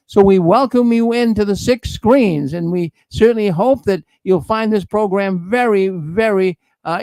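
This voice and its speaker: A male host greets viewers in an upbeat, welcoming way and repeats himself as he builds up the program. His voice is high for a man. The sound is close and dry, with no room around it. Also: darkish tone, no room sound. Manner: upbeat, welcoming, repetitive announcer talk. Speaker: show host. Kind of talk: live online show introduction.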